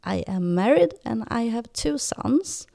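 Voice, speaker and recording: Slow-talking Swedish-accented woman. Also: fairly high pitch, very animated, creaky, dark tone, dry recording, studio quality. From Sweden. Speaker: podcast co-host. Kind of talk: casual podcast chat.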